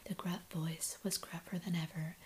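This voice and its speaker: A woman reading a classic novel aloud in a soft, unvoiced ASMR whisper. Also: fairly low pitch, little pitch movement, normal speed, slight background noise. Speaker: audiobook reader.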